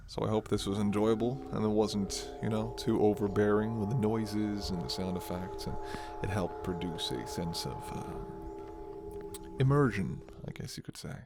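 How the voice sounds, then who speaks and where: A man's voice: on the low side, normal speed, over music. A man talking casually to his ASMR listeners in a hesitant, musing, rambling way. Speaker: host of an ASMR roleplay audio channel.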